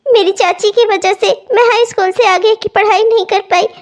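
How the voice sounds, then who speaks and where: A woman's voice: extremely high pitch, steady tone, moderate pace. A woman playing a meek, dutiful young bride, earnest and plaintive, in a melodramatic family story. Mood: earnest resolve.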